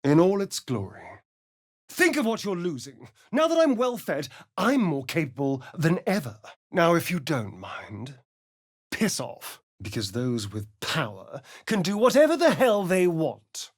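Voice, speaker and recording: A man's voice: a little high, heavily acted. An actor playing a vain, sardonic young-looking male vampire in a video game, with an arch, theatrical manner. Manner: arch, sly and theatrical, drawling. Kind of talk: game voice acting.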